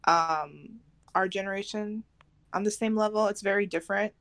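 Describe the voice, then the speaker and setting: A woman's voice: mid-range voice, moderate pace, fairly bright tone. A young woman chatting casually as a podcast co-host, in an informal, loosely phrased way. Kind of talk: casual chat.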